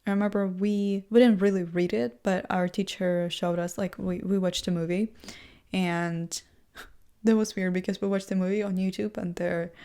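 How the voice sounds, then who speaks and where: A young woman, English not her first language, thinks out loud in a loose, rambling monologue. She talks at a middle pitch and keeps restarting her sentences with fillers. She pokes fun at herself as she goes. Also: little pitch movement, normal speed, smooth. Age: young adult. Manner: chatty, self-deprecating, full of fillers and restarts. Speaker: vlogger. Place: seated indoors in a chair.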